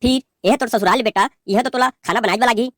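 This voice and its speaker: A woman voicing a patient old father-in-law who reasons gently in a Chhattisgarhi accent in a cartoon. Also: on the high side, fast talker, no room sound, studio quality. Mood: reasoning.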